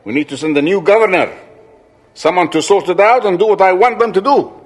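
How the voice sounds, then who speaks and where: An actor playing a cruel, imperious sultan in a radio drama, commanding and scornful in manner. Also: male voice, high voice, a little fast.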